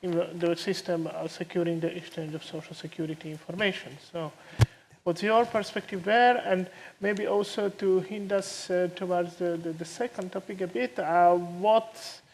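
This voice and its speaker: A man moderating a conference panel, posing questions in a measured way with some fillers. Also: high voice, steady tone, vocal fry, a little hiss or hum, low-quality recording.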